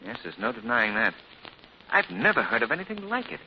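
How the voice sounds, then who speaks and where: A suave, assured gentleman detective, acted by a man, with a lively, rising and falling delivery. Classic 1940s radio drama acting. The sound is muffled old broadcast with a light hiss. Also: mid-range voice, fairly quick, lightly acted.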